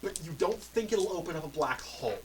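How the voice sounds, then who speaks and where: A man narrating as game master of a tabletop role-playing game, describing scenes in a relaxed, rambling way. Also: on the high side, fairly quick. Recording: mic a bit far, faint noise.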